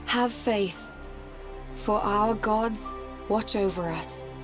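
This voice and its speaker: An actress playing a gentle, solemn young oracle, giving a formal, earnest ceremonial speech in a video game. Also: female voice, mid-range voice, music in the background. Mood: earnest, resolute. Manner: measured, deliberate, earnest, formal diction. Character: solemn, gentle young female oracle addressing her people.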